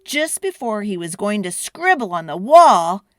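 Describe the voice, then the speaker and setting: A woman hosting a children's read-aloud, speaking with expressive, deliberate, dramatic storybook phrasing. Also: mid-range voice, slight background noise.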